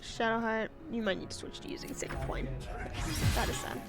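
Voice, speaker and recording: Let's-play host: chatty, wry woman, animated pitch, some vocal fry, game music underneath. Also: normal speed. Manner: chatty, exclaims at setbacks, wry self-mocking asides. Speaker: gamer. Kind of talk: casual let's-play commentary.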